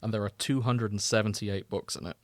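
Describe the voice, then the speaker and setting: A man's voice: fairly low pitch, steady tone, a little fast, no room sound. A man co-hosting a podcast in casual, comedic chat, with a wry, dry sense of humour. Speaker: podcast co-host. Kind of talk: casual comedic chat about fan fiction.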